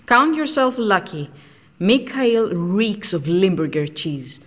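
A woman's voice: medium pitch, sing-song, slow pace. An actress playing a frank, socially outcast woman scientist in an audio drama, speaking in a blunt, matter-of-fact way. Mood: dry, frank.

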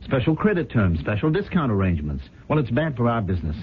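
Actor as a swooping, scheming husband, old radio. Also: male voice, mid-range voice, very animated, fast talker, lightly acted. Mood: glib, reassuring. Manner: theatrical, glib, defensive. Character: smooth-talking, scheming husband running a failing company. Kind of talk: 1970s radio drama acting and narration. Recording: tinny, noisy old radio broadcast.

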